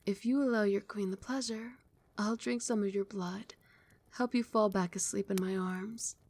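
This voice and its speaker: A woman's voice: mid-range voice, steady tone, moderate pace, lightly acted. Woman playing a whispering vampire queen. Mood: tender. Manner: soft whispering, soothing. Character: doting female vampire queen, tender, possessive. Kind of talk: ASMR roleplay. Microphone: close mic.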